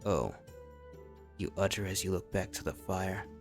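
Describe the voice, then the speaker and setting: Male narrator, low register, scripted fan fiction storytelling addressed to "you", light music bed. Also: little pitch movement, fairly quick.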